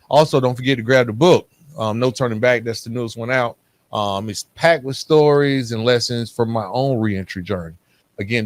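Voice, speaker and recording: A man's voice: mid-range voice, no room sound. An American man hosting a podcast, speaking in a casual, colloquial way as he questions a guest and addresses listeners. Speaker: podcast host. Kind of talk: casual podcast interviewing and sign-off.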